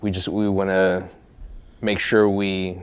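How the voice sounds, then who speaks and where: A man hosting a casual podcast, easygoing and asking his guest questions with frequent "uh" pauses. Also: sits low, little pitch movement, smooth. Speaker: podcast host.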